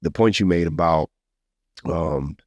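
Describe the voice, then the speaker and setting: A man's voice: low voice, measured pace, darkish tone, dry recording. A man chatting on a podcast in a colloquial, reflective and encouraging way. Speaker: podcast host who also preaches. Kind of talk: casual podcast conversation.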